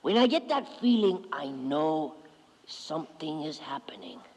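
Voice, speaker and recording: A man doing a hushed, solemn grown son. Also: big pitch swings, big-room sound, rough recording. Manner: low, slow, whispery.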